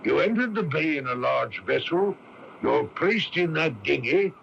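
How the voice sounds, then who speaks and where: An actor in a radio drama playing a stern, dutiful police constable who questions with formal courtesy. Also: male voice, a little high, lively intonation, gravelly, full character voice. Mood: stern, accusing. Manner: deliberate, formally polite interrogation. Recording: lo-fi radio broadcast tape with hiss.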